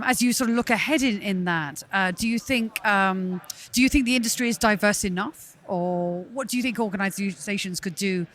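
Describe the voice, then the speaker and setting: A woman hosting a live conference podcast, effusive and full of praise as she introduces and questions her guests. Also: medium pitch. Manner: warm, effusive and gushing with praise. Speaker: podcast host.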